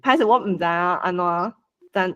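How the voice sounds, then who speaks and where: A host with a Taiwanese accent casually organizing people in an online meeting, coaxing and animated. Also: mid-range voice, moderate pace, smooth, highs cut off. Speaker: host assigning roles for a script read-along.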